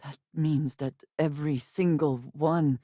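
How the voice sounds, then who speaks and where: An actress playing a guarded, earnest and devout woman spacefarer in a video game, speaking in measured, formal phrases. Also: sits low, steady tone, mellow, no echo, studio quality. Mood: alarmed, indignant. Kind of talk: video game character dialogue.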